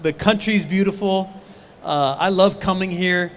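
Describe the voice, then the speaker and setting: A middle-aged man with a booming voice, an auctioneer guesting on stage at a convention with a handheld mic, joking with the crowd. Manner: rapid auctioneer chanting, jokey banter.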